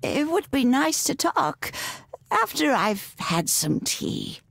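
A woman playing a feisty but kindly elderly witch grandmother in a video game, with a folksy, wry, unhurried manner. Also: high voice, wildly swooping pitch, creaky, fairly bright tone, no room sound, heavily acted. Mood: gentle, folksy.

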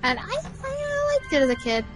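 A woman's voice: extremely high pitch, wildly swooping pitch, normal speed, music in the background. A woman chatting casually over a game stream, rambling and giggling with lots of fillers. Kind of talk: casual chat.

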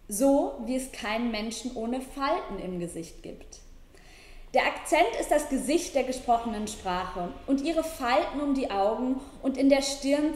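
High-voiced woman reading in an echoey room. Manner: measured, careful literary reading. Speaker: university language lecturer. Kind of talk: presenting and reading an essay aloud. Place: high-ceilinged ornate room, seated at a table.

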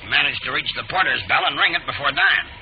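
An actor playing a blunt, slangy, wisecracking police sergeant in an old radio drama. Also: male voice, on the high side, huge pitch swings, fast, vocal fry, heavily acted. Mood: gruff wisecracking. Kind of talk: 1940s radio acting. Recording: dull old radio recording.

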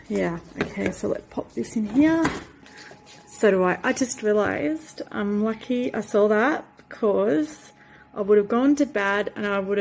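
Woman vlogger, unhurried rambling kitchen chat on a phone camera, faint room hum behind. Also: mid-range voice, gravelly, a little mellow, poor audio. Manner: rambling and chatty, with many fillers. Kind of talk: casual vlog talk. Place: home kitchen, indoors. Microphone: handheld phone camera.

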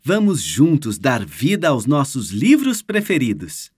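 A man's voice: medium pitch, wildly swooping pitch, measured pace, breathy edge, dry recording, studio quality. A man with a Brazilian accent reading a scripted promotional announcement as an audiobook announcer.

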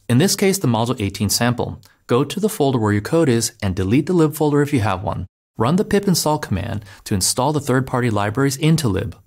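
A man of ordinary pitch narrates a programming walkthrough. His delivery is clear, even and instructional, like a scripted voice-over for a tutorial. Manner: clear, steady step-by-step instruction. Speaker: developer advocate. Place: voice-over over screen recordings.

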